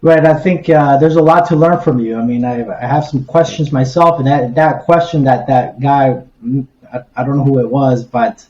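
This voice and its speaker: A man hosts a casual interview in a mellow, dark-toned voice. His audio sounds muffled and lo-fi, with plenty of room echo around his words. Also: mid-range voice, normal speed. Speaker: podcast host, interviewer. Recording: roomy, dull lo-fi audio.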